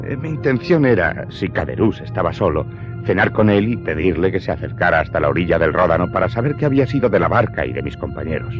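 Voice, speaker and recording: A man's voice: sits low, expressive, quick pace, lo-fi sound, music in the background. A man playing a wary smuggler who recounts his past as dramatic narration in a radio play. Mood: confiding.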